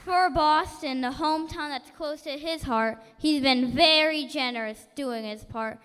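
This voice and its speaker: Young girl, rhyming recital, high bright voice, slow careful reading. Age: child. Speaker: schoolchild performer. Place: large church hall. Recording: distant voice with church reverb.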